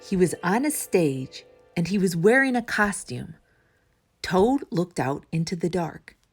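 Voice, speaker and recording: A woman reading a children's story aloud as a storytime host, with expressive, dramatic emphasis. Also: lowish voice, unhurried, husky, soft background music. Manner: dramatic emphasis, asks listeners questions.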